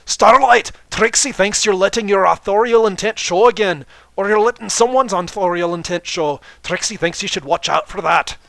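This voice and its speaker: A man's voice: sits high, moderate pace, gravelly, a bit bright, no room sound, voice pushed up. A man voicing a boastful, indignant female pony stage magician who declaims haughtily in the third person for a story reading.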